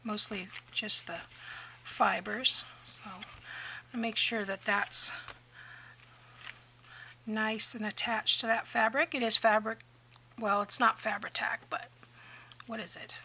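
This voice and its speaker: A woman with a bright, fairly high voice talks through a craft project at her table in a chatty, rambling way, slipping into near-whispers now and then. Her voice is a little hoarse, and faint background noise sits under the camera mic. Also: fairly even intonation, takes it slowly, pauses often. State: slightly hoarse, losing her voice. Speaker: craft channel host. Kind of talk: casual crafting tutorial narration.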